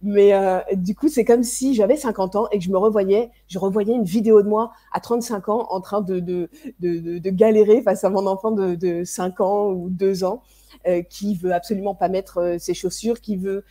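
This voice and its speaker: A woman in her thirties talks parents through handling tantrums on a live stream. Her voice is mellow and sits at a middle pitch. She speaks casually and hesitantly, often restarting her sentences and filling pauses. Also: fairly even intonation. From France. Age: 30s. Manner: hesitant, many fillers and restarts. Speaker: parenting coach. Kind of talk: live stream advice talk.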